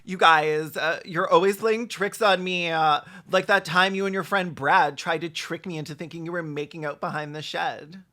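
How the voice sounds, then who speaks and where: A comedic reaction commentator with a bright, sharp voice at a middling pitch. The delivery is mocking and campy. It swings between theatrical mock acting and deadpan asides. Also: normal speed, few pauses. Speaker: video commentator.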